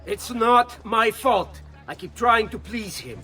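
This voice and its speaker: An actor playing a sly, nervous government official in a video game, smug and then defensive. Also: male voice, sits high, expressive, takes it slowly, sharp tone, soft background music, exaggerated voice. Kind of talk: video game cutscene acting.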